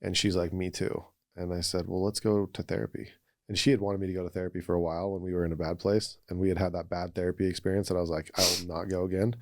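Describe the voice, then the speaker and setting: A man with a very low voice shares personal stories as a podcast guest. He talks in an earnest, reflective and conversational way. The sound is dry, with very little room. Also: level tone, fast talker, dry recording. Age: 30s or older. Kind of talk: casual personal storytelling.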